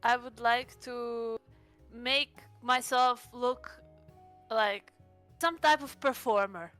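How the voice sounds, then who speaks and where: Bright, clear, high-voiced woman. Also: slow, soft background music. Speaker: tabletop game player. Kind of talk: casual in-game chat.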